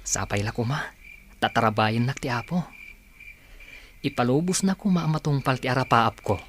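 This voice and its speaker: A man's voice: mid-range voice, normal speed, fairly bright tone, a little hiss or hum, lightly acted. A man acting in a radio drama as an earnest young son who dreams of escaping poverty. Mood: earnest, subdued.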